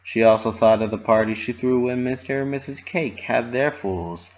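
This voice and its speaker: A narrator reads a story aloud in a dramatic storybook style. The voice sits at a medium pitch. The home recording sounds a bit dull and lo-fi. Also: moderate pace.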